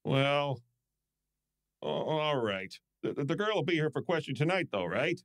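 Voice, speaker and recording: A man playing a gruff, stubborn police inspector, blustery and stammering, in an old-time radio drama style. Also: fairly high pitch, very animated, unhurried, a bit bright, dry recording, studio quality. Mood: stubborn, flustered.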